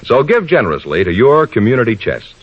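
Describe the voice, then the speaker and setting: A man's voice: mid-range voice, very animated, fairly quick, husky. A man with an American accent announcing formally in 1950s radio style, on a muffled vintage recording.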